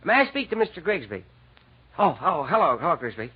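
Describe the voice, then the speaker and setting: An effusive, flustered American store manager in an old radio play. His voice is high, swinging widely in pitch, with a husky edge. He stammers good-naturedly in 1940s radio style. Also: big pitch swings, fairly quick, heavily acted. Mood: eager, businesslike. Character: excitable, lovestruck, good-natured male department store manager. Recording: dull, lo-fi 1940s radio recording.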